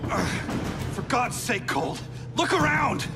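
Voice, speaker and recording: An actor playing an earnest young male speedster hero in a video game cutscene, with quick, self-deprecating lines. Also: extremely high pitch, sing-song, loud music behind the voice, full character voice. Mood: urgent.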